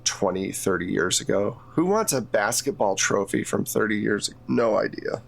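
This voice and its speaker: A man's voice: fairly low pitch, expressive, moderate pace, vocal fry. Conversational man running a tabletop game. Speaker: game master. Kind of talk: improvised narration of a tabletop game.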